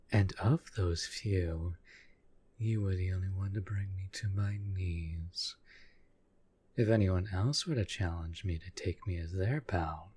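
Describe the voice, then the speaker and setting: Man voicing a deep, husky, possessive demon. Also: darkish tone, exaggerated voice. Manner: formal, measured, menacing yet deferential. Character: proud, possessive, devoted demon bound to a sorcerer master. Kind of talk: scripted roleplay audio drama monologue.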